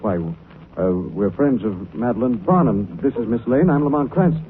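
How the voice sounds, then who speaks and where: Urbane gentleman sleuth male actor, swinging intonation, mid-pitched, vintage radio crackle and narrow band. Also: lively intonation, moderate pace, lightly acted. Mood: intrigued. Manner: urbane, composed. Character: suave, composed gentleman amateur detective. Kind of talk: 1940s radio drama acting. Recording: scratchy, band-limited 1940s radio recording.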